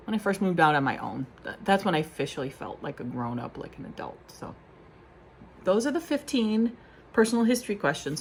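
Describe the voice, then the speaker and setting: Low-voiced chatty woman vlogger. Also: a little hiss or hum. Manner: rambling, chatty, self-deprecating with many fillers. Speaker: beauty vlogger. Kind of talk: casual chat to camera.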